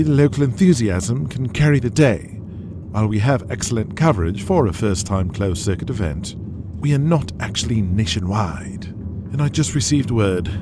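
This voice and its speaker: A man voicing a measured, businesslike male wrestling executive with a formal manner, in an audio drama. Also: on the low side, expressive, background noise, close to an everyday voice. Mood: concerned. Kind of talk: audio drama character acting.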